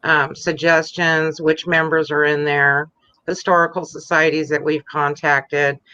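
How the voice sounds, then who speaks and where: A woman's voice: deep voice, flat delivery, measured pace, sharp tone, muffled recording. A woman guest on a casual video chat, explaining things step by step with a few fillers.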